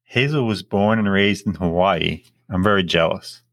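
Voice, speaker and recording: A man's voice: low-pitched, normal speed, no echo. A middle-aged man, co-hosting a podcast with scripted lines and wry, joking asides. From Long Island, New York. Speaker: podcast co-host. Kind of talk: scripted introduction with casual asides.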